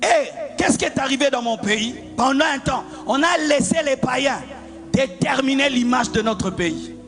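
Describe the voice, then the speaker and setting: A man from the Congo preaching a fervent sermon as a pastor, repeating lines and shouting in a half-sung cadence. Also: very high-pitched, expressive, normal speed. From Democratic Republic of the Congo. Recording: preaching over loud music.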